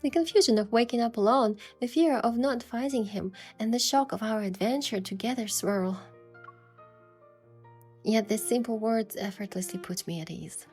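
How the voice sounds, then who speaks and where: A woman playing a sincere young heroine, narrating her romance story in a soft, measured and expressive way. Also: a little high, husky. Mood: reflective narration. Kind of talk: scripted first-person story narration and dialogue. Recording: music behind the voice.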